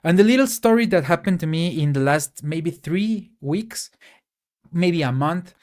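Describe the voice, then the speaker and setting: A man's voice: fairly high pitch, lively intonation, unhurried, darkish tone. A man from Mexico, a native Spanish speaker with a Mexican accent, giving a casual, hesitant solo rant to camera with many fillers and restarts. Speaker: tech YouTuber.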